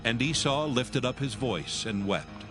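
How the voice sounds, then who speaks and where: A man narrating a dramatized scripture reading in a measured, solemn storytelling manner. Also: mid-range voice, animated, over music.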